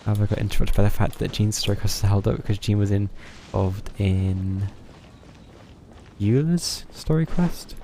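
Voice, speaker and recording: A man's voice: on the low side, moderate pace, mellow, a little hiss or hum. A man giving casual, rambling live commentary as he plays a game. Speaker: game streamer.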